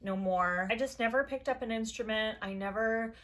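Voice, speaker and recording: Low-voiced young woman vlogging at home. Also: normal speed, a bit bright. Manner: earnest, meandering, encouraging. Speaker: YouTube creator. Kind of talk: casual talk to camera. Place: decorated bedroom indoors.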